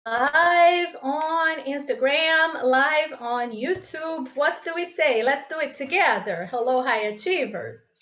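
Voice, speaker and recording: A woman's voice: high voice, takes it slowly, hardly any pauses, a bit bright. A woman from Brazil who is not a native English speaker, an English teacher hosting a live-streamed exam lesson and chatting directly with viewers. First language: Portuguese. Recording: slightly dull live-stream audio.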